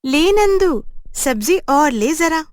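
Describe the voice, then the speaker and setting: A woman's voice: high voice, animated, slow pace, no echo, studio quality, pitched above the performer's own voice. A woman voicing a hospitable village aunt who coaxes fondly in a singsong manner in a storybook tale. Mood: doting, coaxing. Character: doting, hospitable village aunt pressing food.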